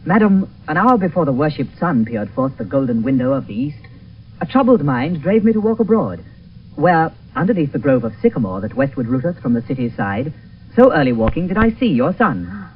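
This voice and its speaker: A man's voice: on the high side, big pitch swings, a little fast. An actor playing a loyal, concerned young nobleman, speaking verse earnestly in a radio Shakespeare play. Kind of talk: 1970s radio Shakespeare acting. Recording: dull, hissy 1972 radio recording, distant.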